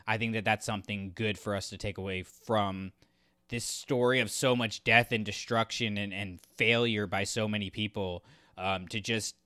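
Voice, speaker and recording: A man co-hosting a podcast, talking casually about books in a halting, thinking-aloud way. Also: lowish voice, fairly bright tone, no room sound, studio quality. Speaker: podcast co-host reviewing books. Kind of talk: casual discussion and commentary.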